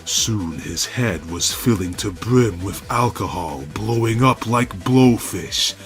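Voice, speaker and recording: A man giving a scripted dramatic story narration in a deliberate, stilted, mock-serious manner. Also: fairly low pitch, expressive.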